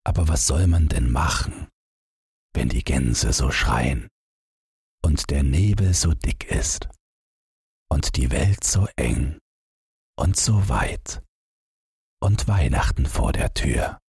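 A man reading a literary Christmas story as an audiobook narrator, in a measured, dramatic storytelling manner. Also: rumbling low, lively intonation, gravelly, darkish tone, husky, no echo, clean studio sound.

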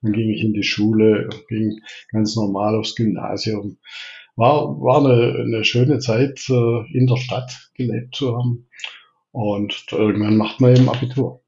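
A man in his sixties speaks with a Swabian German accent, his low voice carrying a gravelly edge. He answers interview questions in a relaxed way, pausing and restarting often. The recording sounds dull and lo-fi. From Reutlingen, southern Germany. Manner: relaxed, with frequent hesitations and restarts. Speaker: interview guest, interim executive.

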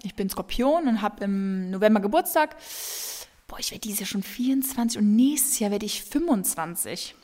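A woman's voice: medium pitch, lively intonation, moderate pace, warm tone. Chatty young woman in a reverberant room. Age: 20s. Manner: chatty and rambling, with many fillers. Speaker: podcast host. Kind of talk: casual solo podcast Q&A. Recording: long reverb.

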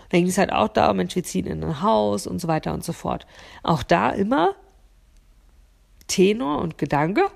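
A woman speaks in a fairly low voice with plenty of vocal fry. She hosts a solo podcast and addresses the listener directly and without a script. She pauses to find her words and doubles them up for emphasis. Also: animated, a little mellow. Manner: hesitant, searching for words, repeating words for emphasis. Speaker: podcast host.

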